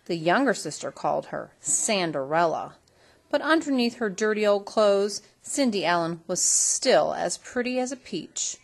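A woman reading a children's story aloud in an expressive storytelling style. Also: medium pitch, unhurried, creaky. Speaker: storybook reader.

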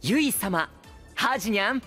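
A man's voice: high voice, expressive, a little slow, soft background music, heavily acted. A male actor playing a polite, princely young idol who hosts a stage event in an audio drama. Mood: gracious. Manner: courteous, smooth, gently chiding. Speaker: stage host.

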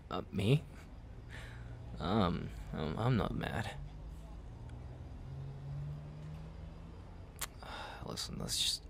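Very deep, slow, halting young man, acted. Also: creaky, background noise, exaggerated voice. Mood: tense, subdued. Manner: halting, stammering, sighing. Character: young man, jealous, flustered childhood best friend. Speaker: roleplay audio performer. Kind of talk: intimate ASMR roleplay acting.

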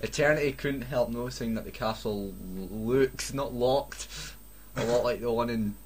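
A man's voice: mid-range voice, normal speed. A Scottish man doing a mocking comic read-aloud with sarcastic, sweary asides. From Scotland. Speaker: co-host reading fan fiction aloud. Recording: noisy home recording.

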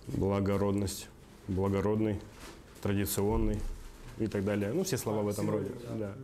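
A man from Chelyabinsk, a bartender contestant, talking relaxed to camera about his dessert. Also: on the low side, normal speed, a crowd in the background. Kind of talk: interview to camera.

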